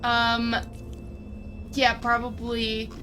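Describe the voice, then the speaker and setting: A woman's voice: fairly high pitch, monotone, drawn-out words, over music. A woman chatting casually as a player in a tabletop game, tentatively thinking aloud as she describes what her characters do. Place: online livestream video call. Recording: clearly noisy background.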